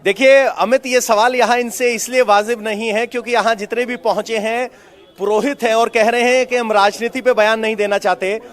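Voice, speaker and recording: High-pitched male TV field reporter. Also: steady tone, fairly quick. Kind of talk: live TV news reporting and interviewing.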